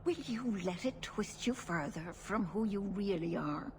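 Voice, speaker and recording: A woman's voice: medium pitch, expressive, a little slow. A woman playing a grave, protective older elf clan leader in a video game cutscene, speaking solemnly and with measure. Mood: pleading warning. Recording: noisy game ambience behind.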